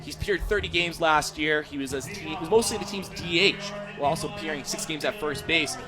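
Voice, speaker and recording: A young American man serves as color analyst on a baseball radio call. His pitch is a little high for a man, and he breaks down plays in live commentary. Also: brisk, over music. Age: young adult.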